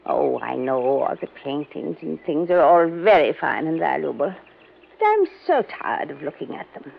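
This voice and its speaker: A woman's voice: low-pitched, sing-song, normal speed, gravelly, exaggerated voice. An actress playing a sprightly, refined elderly widow in a 1950s radio drama, chatty and ladylike. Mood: breezy, weary. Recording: muffled old radio recording, faint hiss.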